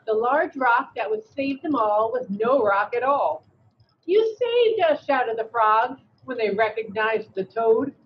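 A woman co-hosting a read-aloud, reading a storybook expressively with a slightly husky voice. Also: on the high side, animated, measured pace, a little hiss or hum. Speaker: read-aloud co-host, educator. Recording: dull video-call audio.

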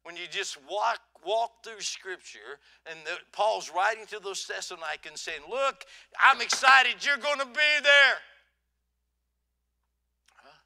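Older male preacher, folksy storyteller, tense bright tone, lapel mic in a church hall. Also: high-pitched, slow, creaky. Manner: folksy, swings from soft to loud, asks "huh?". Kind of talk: sermon. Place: church auditorium stage.

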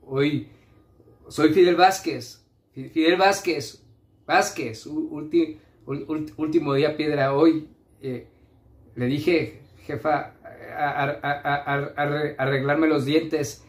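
A man's voice: a little high, fairly even intonation. A man playing a confused, pleading drug addict who stammers and repeats himself in a theatrical monologue. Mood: dazed, insistent. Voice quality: stammering, halting speech. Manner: fragmented, obsessively repeating himself. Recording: echoey room.

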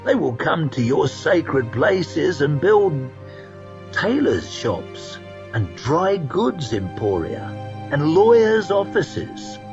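A man voicing Koska, a scheming, grandiose male adventurer who looks down on ordinary people, in a haughty, drawn-out way. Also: on the high side, very animated, highs cut off, over music, pitched above the performer's own voice, exaggerated voice. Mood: scornful. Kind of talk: audiobook character reading.

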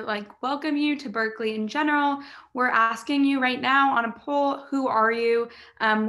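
Friendly student guide from Southern California. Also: female voice, fairly high pitch, a bit bright. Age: young adult. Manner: friendly, filler-heavy. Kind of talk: casual presentation. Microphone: video call.

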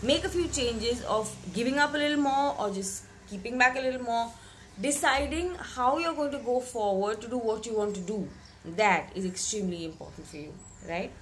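A young woman, slightly hoarse from a cold, giving a reflective weekly tarot reading to camera in a small room, often repeating words for emphasis. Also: on the high side, normal speed, fairly bright tone, slight background noise. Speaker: tarot reader. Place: bedroom with curtained window, indoors.

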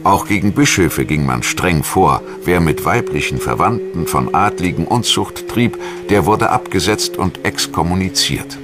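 Deep-voiced male documentary narrator over music. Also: expressive, a little slow, hardly any pauses. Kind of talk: scripted historical documentary narration. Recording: music behind the voice. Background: background music.

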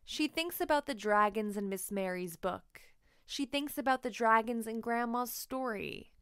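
A woman reading a children's story aloud expressively, with deliberate, emphatic phrasing. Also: a little high, fairly bright tone, clear, resonant tone, no echo, studio quality. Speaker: children's book narrator.

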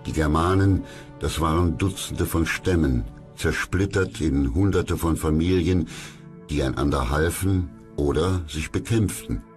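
A man's voice: a bass voice, a little slow, faint music behind. A man reading scripted documentary narration.

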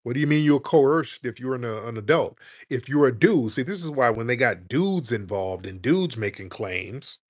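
A man's voice: medium pitch, lively intonation, moderate pace, hardly any pauses. Combative man hosting a call-in show. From United States. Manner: combative, rhetorical questions, acts out quoted voices. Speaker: livestream show host. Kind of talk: opinionated commentary with callers.

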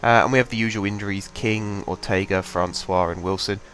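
A man's voice: on the low side, fairly even intonation, a little fast, a bit bright. A young man with a head cold, chatting casually as he commentates his own game at a home desk mic, rambling and thinking aloud. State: has a cold, congested. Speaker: gaming channel host.

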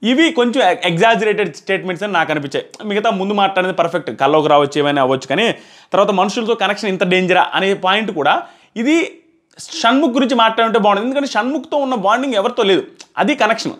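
A man's voice: sits high, expressive, quick pace, fairly bright tone. A man gives a casual spoken review to camera, explaining and repeating his points for emphasis. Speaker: reality show reviewer. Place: small plain indoor room. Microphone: clip-on lapel mic.

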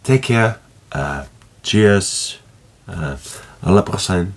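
A man in his thirties with a Finnish accent speaks to the camera from a small room at home. His voice sits very low and a little husky, and he talks slowly, stopping often with fillers and restarts. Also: expressive. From Finland. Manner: halting, many fillers and restarts, gestures with hands. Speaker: vlogger. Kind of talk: casual talk to camera.

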